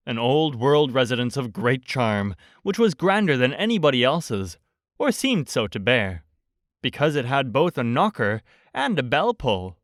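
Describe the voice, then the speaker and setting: A man narrating a bedtime storybook as an audiobook reader, in a measured, expressive storytelling manner. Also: medium pitch, dry recording, clean studio sound.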